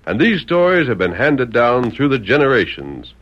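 A man's voice: medium pitch, big pitch swings, moderate pace, a bit bright. A man with an American accent acting as a grand, declamatory narrator of an old radio western serial. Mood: stirring, grand. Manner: theatrical, declamatory. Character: grand, dramatic announcer of a western adventure serial. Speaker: announcer and narrator. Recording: dull, noisy vintage radio recording.

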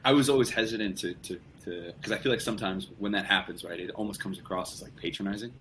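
A man's voice: on the low side, fast, a bit bright, slight background noise, low-quality recording. A young man as a podcast guest, talking casually in rambling sentences with many restarts. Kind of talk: casual conversation.

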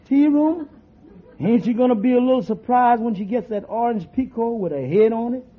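An actor playing a slow, dim-witted male tavern regular who cracks drawling deadpan jokes, in 1940s radio sitcom style. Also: very high voice. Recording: thin, tinny 1940s radio broadcast with hiss.

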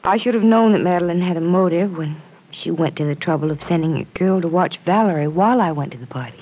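An actress playing a gentle, guarded but kind young woman in an old-time radio drama, speaking politely and softly. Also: lowish voice, fast, lightly acted. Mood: resigned, regretful. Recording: noisy vintage radio show recording.

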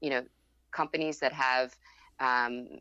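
A young woman who grew up in Thailand, with Thai as her first language, speaking as a podcast guest in a measured way, filling her answers with "you know" and "um". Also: extremely low pitch, steady tone, bright, forceful tone, smooth, no room sound. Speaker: guest, fund founder. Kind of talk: podcast interview. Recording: dull lo-fi remote audio.

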